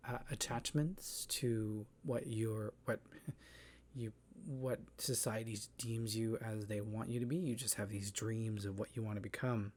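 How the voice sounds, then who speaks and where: A man speaks in a low, unhurried voice, musing aloud through a personal monologue. He hesitates often, restarting phrases and filling gaps as he thinks. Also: darkish tone. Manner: thoughtful, hesitant, many fillers and restarts. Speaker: theatre artist. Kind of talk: reflective spoken monologue. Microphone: voice-over, face not shown.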